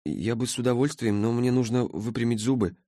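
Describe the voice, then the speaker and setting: A man's voice: mid-range voice, fairly quick, warm tone, dry recording, studio quality, voice pushed up, close to an everyday voice. A man voicing a polite, bewildered schoolboy time traveller in an audiobook, hesitant and earnest. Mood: earnest, anxious.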